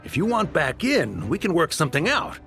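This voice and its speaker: A man's voice: a little high, wildly swooping pitch, a little fast, vocal fry, a bit bright, faint music behind, heavily acted. A man playing a smug, greedy swindler turned socialite in a video game, with a taunting, theatrical delivery.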